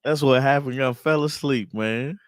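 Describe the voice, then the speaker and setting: A man co-hosting a casual live coffee chat, playful and quick to laugh. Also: medium pitch, dry recording, studio quality.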